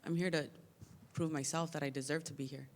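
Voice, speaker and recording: A woman fighter answering press questions in a large room, soft-spoken and halting, often restarting her words. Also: deep voice, steady tone. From United States. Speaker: MMA fighter at press scrum. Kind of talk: media day interview answers. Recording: reverberant hall.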